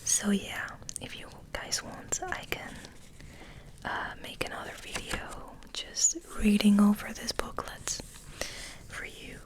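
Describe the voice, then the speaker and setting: A woman hosting ASMR, rambling in a soft, breathy, hesitant whisper. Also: mid-range voice, lively intonation, slow pace. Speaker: ASMR video host.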